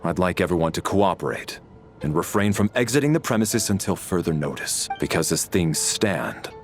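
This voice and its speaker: An actor voicing a commanding military major who speaks in a formal, authoritative way. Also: male voice, low voice, lively intonation, normal speed, faint music behind. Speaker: military officer. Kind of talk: video game voice acting.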